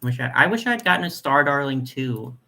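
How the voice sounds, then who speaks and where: A young man with a nasal voice hosting a casual live chat, reading comments and talking in a chatty, soft way with lots of fillers. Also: mid-range voice, animated, fairly quick, vocal fry. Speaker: doll collector channel host.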